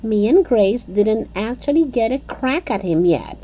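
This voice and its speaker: Woman doing a bossy little kindergarten girl, loud, childish grammar, high lively voice, storybook. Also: measured pace. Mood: scheming.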